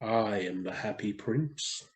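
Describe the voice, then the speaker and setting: Man as a gentle, sorrowful statue prince. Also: slow, slight background noise. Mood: wistful. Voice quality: low, musical.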